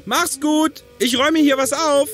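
A man acting a high-voiced, defiant younger brother. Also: steady tone, measured pace, over music. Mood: sullen, defiant. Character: ailing, defiant younger brother, grown but dependent. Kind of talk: German audio drama acting.

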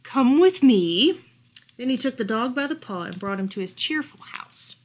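A woman reading a children's picture book aloud at home in an animated storytelling style. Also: fairly high pitch, brisk. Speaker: librarian reading bedtime stories. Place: furnished room at home, indoors.

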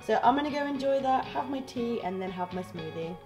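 A young woman in her twenties, a drama student vlogging casually with a slightly croaky voice, filmed at arm's length in a small student flat. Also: a little high, fairly quick, over loud music. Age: 20s. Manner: chatty and upbeat, laughs often. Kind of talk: casual vlog chat. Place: small student flat bedroom and kitchen, indoors. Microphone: camera mic at arm's length.

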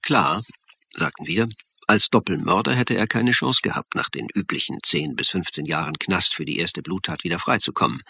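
An actor playing a calm, dry police lecturer who narrates and talks in a radio crime drama. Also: male voice, low voice, vocal fry, husky, no room sound, exaggerated voice. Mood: matter-of-fact. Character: calm male police academy lecturer narrating a murder case. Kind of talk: radio play narration and dialogue.

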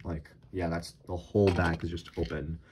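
A young man with a deep, cracked, slightly hoarse voice, rambling casually with lots of fillers while showing off a toy build. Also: level tone, normal speed, heavy background noise. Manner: rambling, many fillers and restarts. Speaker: Lego YouTuber. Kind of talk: casual show-and-tell of a build. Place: small room indoors at a table. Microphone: camera filming hands over a desk.

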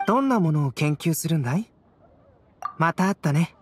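An actor playing a calm young male alchemist scholar in an anime game, measured, wry and softly teasing. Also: on the high side, a little hiss or hum, lightly acted. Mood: calm, amused. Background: game music.

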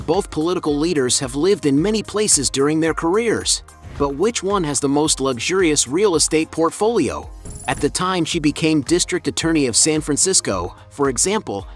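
A man reading a scripted voice-over narration in a steady, even way with no fillers. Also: on the high side. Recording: voice-over with background music.

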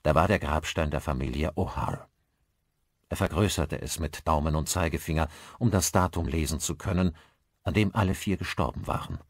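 Male narrator, low register, dry close studio sound, measured and articulate thriller reading. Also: dry recording. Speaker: audiobook narrator. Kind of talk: scripted audiobook narration of a thriller.

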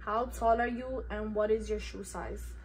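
A woman's voice: medium pitch, fairly even intonation, unhurried, a little hiss or hum, poor audio. Laid-back teen girl with a New York accent. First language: Spanish. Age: teens. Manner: laid-back, slangy, self-teasing. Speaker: YouTuber. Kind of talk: casual Q&A vlog. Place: bedroom, indoors.

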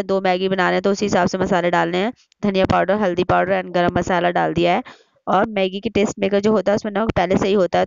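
Hoarse, gravelly-voiced woman vlogging. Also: mid-range voice, no echo. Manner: chatty, explains step by step to viewers. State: hoarse from a sore throat. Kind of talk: casual cooking vlog narration. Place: home kitchen. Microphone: phone camera. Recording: slightly muffled phone audio.

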